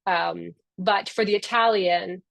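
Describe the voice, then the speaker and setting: A woman's voice: fairly low pitch, fairly even intonation, slow pace, vocal fry, a bit bright, dry recording. A middle-aged woman whose first language is Spanish, the founder hosting a live online orientation in an upbeat, affectionate, chatty way.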